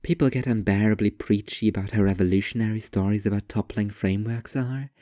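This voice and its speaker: Man as a sullen, low-voiced young writer. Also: steady tone, brisk, dark tone, dry recording, clean studio sound, natural voice. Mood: preachy, disdainful. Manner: curt, pompous, world-weary.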